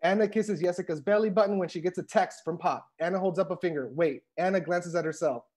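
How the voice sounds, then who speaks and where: A man's voice: sits high, fast, darkish tone. A man in his thirties reading stage directions as scripted narration for a comedy table read, on a video call with earphones. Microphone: wired earphones on a video call.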